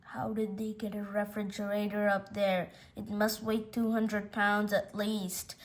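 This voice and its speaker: A woman's voice: mid-range voice, level tone, unhurried, close to an everyday voice. A woman voicing a goofy, easygoing middle-aged uncle who rambles in a folksy way, in a storybook reading. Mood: baffled, muttering.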